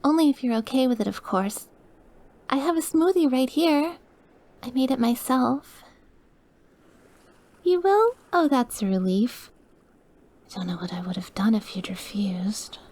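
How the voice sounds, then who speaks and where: A woman playing a sweet, awkward, wistful young woman who is dying and quietly obsessive, in a soft, hesitant, intimate ASMR roleplay. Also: high-pitched, lively intonation, measured pace, long pauses. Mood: shy, nervous, then sweet, eager. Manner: soft, hesitant, gushing, at times dropping to breathy murmurs. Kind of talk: ASMR audio roleplay, intimate one-sided dialogue.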